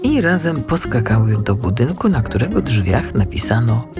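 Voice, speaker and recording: A woman narrates a children's audiobook with music playing clearly underneath. Her delivery is scripted storytelling with a very animated, varied pitch. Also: moderate pace.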